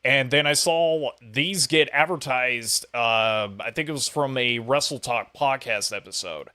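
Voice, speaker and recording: A man's voice: medium pitch, measured pace, pushed and bright, clean tone. A man hosting a podcast, chatting casually with his guest as interviewer.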